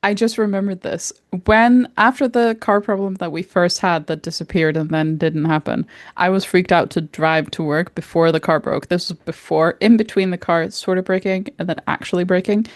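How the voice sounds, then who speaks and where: A young woman co-hosting a podcast, telling stories in a rambling, animated way and swearing freely. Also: fairly low pitch, normal speed, few pauses, no room sound. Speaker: podcast co-host. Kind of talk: casual storytelling chat.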